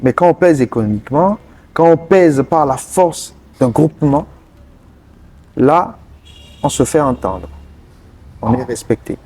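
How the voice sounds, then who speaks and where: A man from Togo, answering interview questions earnestly and pausing often mid-sentence to find his words. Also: on the high side, animated, dark tone, slightly rough. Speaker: interview guest, politician.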